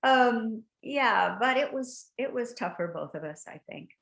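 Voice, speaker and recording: An older woman, a guest actress, chatting in an interview over a video call, telling lively stories and laughing often. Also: a little high, a little slow, creaky. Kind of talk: casual interview chat.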